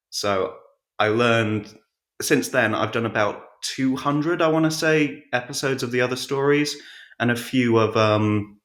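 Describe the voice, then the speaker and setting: A man with a fairly low voice talks in a roomy, echoey space. He tells stories casually as an interview guest, rambling and halting as he goes. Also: a bit bright. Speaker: podcast guest, audiobook narrator. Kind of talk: casual conversational storytelling.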